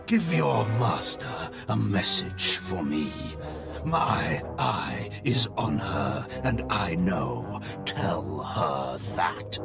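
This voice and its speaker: An actor playing a menacing half-machine dark lord in a video game, slow, contemptuous and threatening, with loud music over the voice. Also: male voice, lowish voice, creaky, exaggerated voice. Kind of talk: dramatic video game acting.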